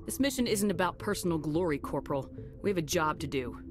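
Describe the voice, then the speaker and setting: A woman's voice: low-pitched, lively intonation, quick pace, noisy background. Stern female commander. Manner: firm, clipped orders. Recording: game audio under music.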